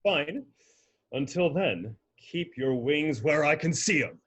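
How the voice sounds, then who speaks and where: A man's voice: a little high, lively intonation, slow, a bit bright, breathy edge, heavily acted. A man voicing a commanding, threatening penguin gang leader in a cartoonish radio comedy. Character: menacing penguin leader of a flightless bird gang.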